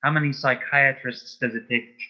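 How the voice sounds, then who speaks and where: A man speaking as an interview guest in casual chat, telling jokes and anecdotes in a dry, measured way. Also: mid-range voice, fairly even intonation, dull audio.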